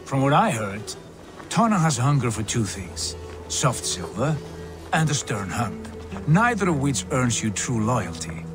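An actor playing a shrewd, measured Viking lord in a video game, assured and wry. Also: male voice, medium pitch, big pitch swings, music in the background. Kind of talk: video game cutscene acting.

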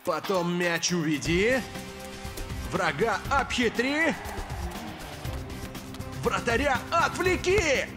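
A man voicing a proud, touchy star footballer in an animated cartoon, cocky and emotional. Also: high-pitched, takes it slowly, long pauses, over music. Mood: confident.

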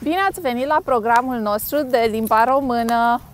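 A middle-aged woman hosting a children's storytime, reading a picture book expressively and playfully in simple phrases. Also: fairly high pitch. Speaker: storytime host. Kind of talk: animated children's picture-book read-aloud. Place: outdoors on a grassy lakeside bank.

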